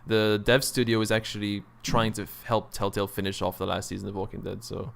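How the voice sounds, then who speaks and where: A man's voice: on the low side, flat delivery, fairly quick, creaky. A man chatting casually about video games as a podcast host, in informal, slangy speech.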